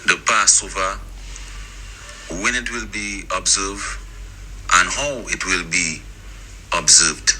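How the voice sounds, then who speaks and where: A man who says he is a native Hebrew speaker, teaching scripture to listeners in a deliberate, halting delivery of short phrases. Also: sits low, poor audio. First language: Hebrew, as the speaker states. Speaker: religious teacher. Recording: steady background noise.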